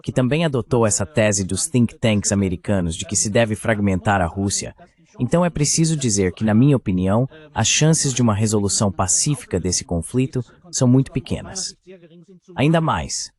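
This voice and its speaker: A man's voice: on the low side, lively intonation, normal speed, a little mellow. A man speaking as the translated voice of a panel guest, giving political commentary in an interview.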